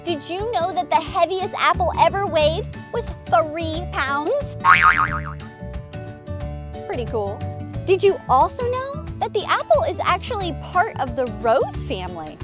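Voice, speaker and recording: A woman in her thirties hosting a children's story time, reading and chatting with expressive, exaggerated enthusiasm. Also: very high-pitched, a little slow, over music.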